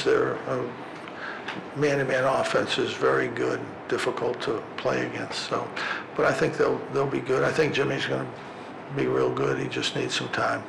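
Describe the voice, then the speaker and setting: A man's voice: medium pitch, vocal fry. An older man, a basketball head coach, answering questions at a postgame press conference, talking plainly with many fillers and restarts into a podium microphone. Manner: halting, plain-spoken, frequent fillers and restarts. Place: press room at a podium before a sponsor backdrop. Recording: echoey press room with background noise.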